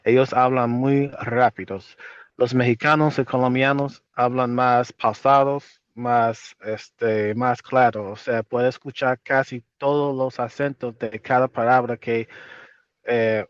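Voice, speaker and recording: A man's voice: mid-range voice, steady tone, clean tone, no echo. American man, dull dry recording. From Chicago, United States. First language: English. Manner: halting, self-correcting second-language speech. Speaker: interview guest. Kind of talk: casual conversational interview in learned Spanish.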